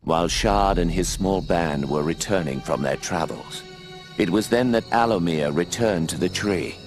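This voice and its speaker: Brief: male actor as an owl warrior narrating a game, low voice, steady storytelling, music under. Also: normal speed, close to an everyday voice. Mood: earnest.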